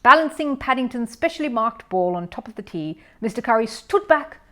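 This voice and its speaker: A woman narrator reading a children's story aloud in an expressive style. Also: a little high, a little fast. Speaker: audiobook narrator.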